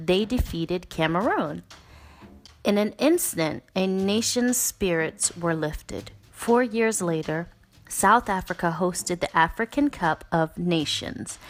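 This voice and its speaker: A woman narrating a children's book as a read-aloud, in an expressive, deliberate way. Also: lowish voice.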